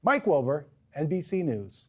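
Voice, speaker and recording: A man's voice: a little high, big pitch swings, unhurried. A man narrating a scripted television news report as a correspondent.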